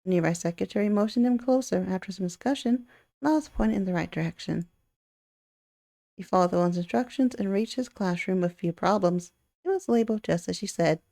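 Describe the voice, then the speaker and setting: A woman reading a visual novel aloud in an expressive way, with chatty asides of her own. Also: mid-range voice, no echo. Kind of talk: playthrough narration with casual commentary.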